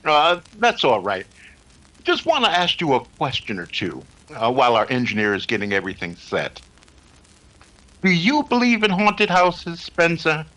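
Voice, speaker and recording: A man acting as a learned psychic investigator in an old-time radio drama. His fairly high voice swoops expressively and rings bright, with formal, erudite phrasing and small hesitations. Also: huge pitch swings, normal speed, heavily acted, rough recording. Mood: courteous, formal. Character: courtly, learned psychic investigator, a male doctor.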